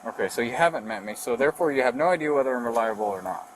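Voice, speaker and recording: Fast-talking policeman on a noisy roadside. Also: mid-range voice, expressive. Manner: firm, instructing, procedural. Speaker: police officer. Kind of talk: firm traffic-stop exchange. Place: outdoors at a roadside by a vehicle. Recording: noisy roadside body-camera audio.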